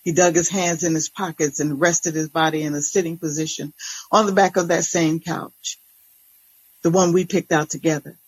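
A woman narrator giving an expressive, deliberate first-person reading of a dramatic story. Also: low-pitched, breathy edge, dry recording, poor audio.

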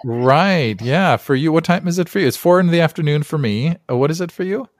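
A man's voice: a little high, lively intonation, a little fast, dry recording, clean studio sound. A man hosting a podcast interview, chatty and friendly, with frequent fillers. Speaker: podcast host. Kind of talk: casual interview chat.

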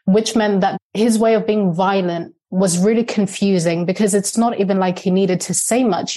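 A woman in her thirties, a Shona speaker, speaks as a podcast guest. Her voice sits a little low and has a soft, mellow, unbright tone. She tells her story slowly and earnestly, in a reflective way. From Zimbabwe.

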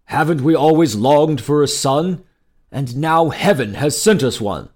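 Hearty fairy-tale king, played by a male narrator; excitable, exclamatory, wildly varied pitch. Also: moderate pace, close to an everyday voice. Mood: thrilled. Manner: exclamatory, emphatic. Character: excitable, hearty storybook king longing for a son.

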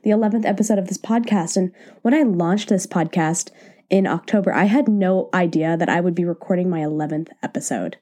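A woman's voice: lowish voice, a little fast, dark tone. A woman host with a cold, slightly nasal and stuffed up, chatting casually and encouragingly on her podcast from her home office. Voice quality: a little nasal. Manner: chatty, encouraging, self-deprecating. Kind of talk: casual solo podcast talk. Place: home office, sitting on the floor.

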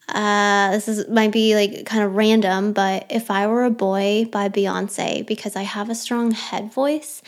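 A young adult woman hosting her own podcast, chatting casually and rambling through listener questions with a self-deprecating touch. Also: mid-range voice. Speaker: podcast host.

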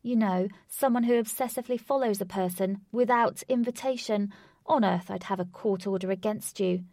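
A woman voicing a defiant young woman who confronts her stalker in a raised, forceful voice. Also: moderate pace, no room sound, clean studio sound, natural voice. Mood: angry. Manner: forceful, pleading, high.

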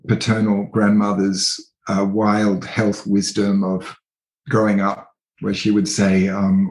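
An Australian man in his thirties speaks as an interview guest, thoughtful and unhurried, pausing often with fillers and restarts. His voice sits fairly low. The room around him is echoey and roomy. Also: darkish tone. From country New South Wales, Australia. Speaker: interview guest, artist and researcher. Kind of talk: reflective conversational interview.

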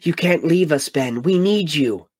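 An eager young hero calls out in a raised, urgent voice, pushed well above the reader's own pitch, as a man acts him out. A husky edge stays on it, and the sound is dry and close. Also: lively intonation, unhurried, dry recording. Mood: pleading. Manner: raised, higher and louder, urgent. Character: earnest young male Jedi, pleading and eager.

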